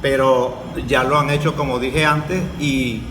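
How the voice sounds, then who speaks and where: A man giving a grave, somewhat halting speech on a panel. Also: medium pitch, level tone. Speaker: panel speaker. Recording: echoey hall with loud background noise.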